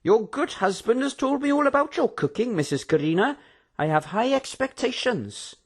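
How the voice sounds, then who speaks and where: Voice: medium pitch, expressive, normal speed, pitched above the performer's own voice, lightly acted. A reader voicing a cheery, cheeky prisoner who chats too freely. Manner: cheery, overfamiliar. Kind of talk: audiobook character voice.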